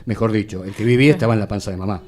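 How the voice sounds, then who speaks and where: A man's voice: on the low side, rapid-fire, darkish tone. A mature man with a Buenos Aires area Argentine accent, telling anecdotes conversationally as an interview guest, thinking aloud as he goes. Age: middle-aged or older. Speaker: guest, radio actor and announcer.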